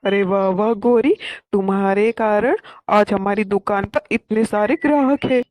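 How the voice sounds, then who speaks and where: A woman's voice: medium pitch, unhurried, darkish tone, no room sound, natural voice. A woman voicing a male crow shopkeeper in a cartoon. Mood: delighted.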